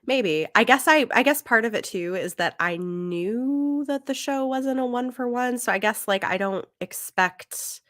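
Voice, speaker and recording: A woman's voice: fairly high pitch, expressive, a little slow, hardly any pauses, fairly bright tone, no echo, clean studio sound. A woman co-hosting a podcast, chatting casually about books in a tentative, conversational way. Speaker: podcast co-host. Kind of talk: casual book discussion.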